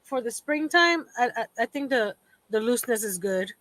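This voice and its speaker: High-voiced lady guest, laughs a lot, trips over words, dry audio. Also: animated, moderate pace, a bit bright, no echo. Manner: laughs often, stammers on repeated words. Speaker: guest crafter on panel. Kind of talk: casual livestream chat.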